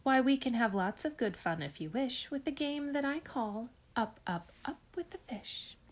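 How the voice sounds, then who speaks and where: A woman's voice: fairly high pitch, animated, moderate pace, voice pushed up. A woman voicing a mischievous male cat who shows off his tricks, boastful and coaxing.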